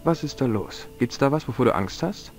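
A man's voice: medium pitch, lively intonation, brisk, faint music behind, close to an everyday voice. A man playing a wry, persistent young adventurer in a video game, questioning people in a dry, ironic manner. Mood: probing.

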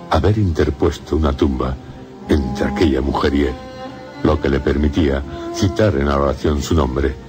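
A man narrating a scripted gothic story as the narrator of a radio drama. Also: very deep voice.

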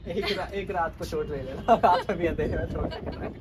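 A man's voice: fairly high pitch, brisk. A young man in his twenties joking and needling his friends on an outdoor rooftop. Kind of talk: casual chat. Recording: distant mic with loud city noise.

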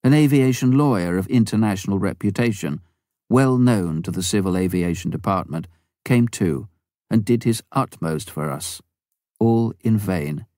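A man reading a first-person memoir aloud as an audiobook narrator, in a measured, earnest manner. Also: on the low side, animated, warm tone, dry recording, clean studio sound. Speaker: audiobook reader.

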